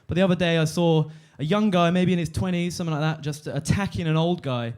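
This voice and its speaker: A man in his twenties doing a deadpan stand-up set on a comedy club stage, halting with fillers and restarts. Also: fairly high pitch, smooth. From London. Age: 20s.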